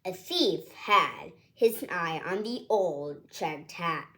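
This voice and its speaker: A woman's voice: lowish voice, lively intonation, slow, fairly bright tone, slight background noise. A woman hosting her own channel, reading a picture book aloud to children in a cheerful, chatty, singsong storytelling way.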